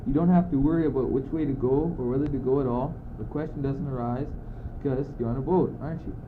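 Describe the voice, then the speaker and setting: A man's voice: mid-range voice, quick pace, natural voice. Teenage boy acting declamatory courtier, tinny noisy recording. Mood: musing. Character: philosophizing, impatient courtier adrift at sea. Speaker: student actor. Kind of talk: amateur radio play acting. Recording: noisy phone-like old school recording.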